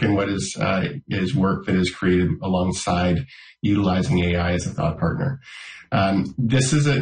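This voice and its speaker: A man with a low voice speaks in a measured, deliberate way, often pausing on "uh" and "um". His voice rings in a roomy, echoey space. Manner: measured, filler-heavy explanations. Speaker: school district official. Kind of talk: radio interview answers.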